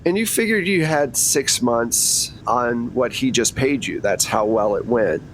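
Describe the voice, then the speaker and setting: A man with a medium-pitched voice acts as game master. He talks conversationally, moving between hosting and narrating the scene for a tabletop roleplaying game. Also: expressive, measured pace, fairly bright tone, slight background noise, low-quality recording.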